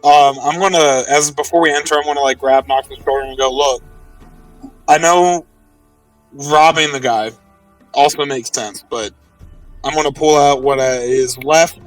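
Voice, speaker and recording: A man's voice: a little high, fairly even intonation, normal speed, pauses often, no echo, distant mic, faint music behind, low-quality recording. A man playing a tabletop roleplay game, chatting casually and recapping as a player, recorded a little away from the mic.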